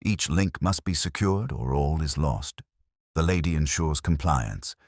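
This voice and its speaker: A man voicing a cool, precise, commanding detective in a measured, deliberate audiobook performance. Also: very deep voice, lively intonation, dry recording, studio quality, exaggerated voice.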